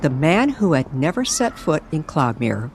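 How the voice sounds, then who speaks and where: Low-voiced woman narrating an eerie story. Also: very animated, background noise. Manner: measured, dramatic storytelling. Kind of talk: scripted narration of an eerie, nostalgic radio-style story. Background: music under the voice.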